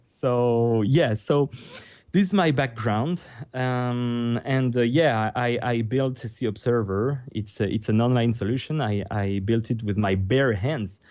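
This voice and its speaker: A man with a French accent, French his first language, speaking as a guest on a video call, halting as he translates on the fly. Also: mid-range voice, a little mellow, dry recording, dull audio. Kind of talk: casual interview over video call.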